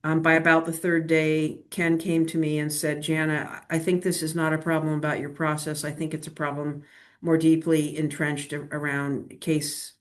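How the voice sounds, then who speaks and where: Low, flat-voiced woman briefing a council. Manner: measured, many fillers and restarts. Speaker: agency administrator presenting to a council. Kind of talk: explaining at a public meeting. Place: meeting room, same room as a colleague. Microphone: laptop microphone.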